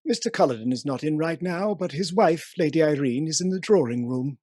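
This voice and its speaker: Household servant, period radio play, formal, deferential, proper, dry close sound. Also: male voice, on the high side, lively intonation, fairly quick, darkish tone, no echo.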